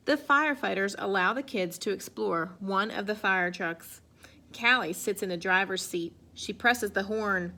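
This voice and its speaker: A woman reading a children's picture book aloud in an expressive way. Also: mid-range voice, unhurried. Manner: expressive, acts out sound effects and exclamations. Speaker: storybook reader.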